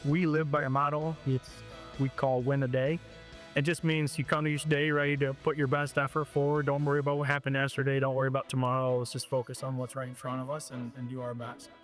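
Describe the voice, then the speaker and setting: A man in his forties from Ohio, a high school football coach, answering interview questions in a halting way, repeating words as he thinks. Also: flat delivery, faint music behind.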